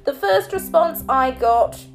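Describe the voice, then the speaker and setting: Woman podcast host, bit croaky from a cold, chatty and teasing, fairly high voice, soft music behind. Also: lively intonation, slow. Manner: chatty and playful, teasing listeners. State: hoarse from a cold. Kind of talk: solo podcast talk.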